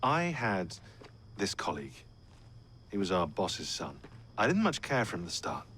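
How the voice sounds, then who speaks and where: An actor playing a weary, wry modern assassin in a video game, with a British accent and a halting, dry way of talking. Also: male voice, sits low, very animated, a bit bright, a little hiss or hum. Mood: regretful, sincere. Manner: dry sarcasm, halting confessional delivery. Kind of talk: naturalistic video game acting.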